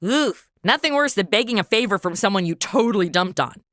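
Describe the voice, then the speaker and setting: A woman's voice: mid-range voice, expressive, brisk, fairly bright tone, dry recording, clean studio sound, close to an everyday voice. A woman playing a sassy, sceptical commentator in a comedy audio drama, sarcastic and argumentative.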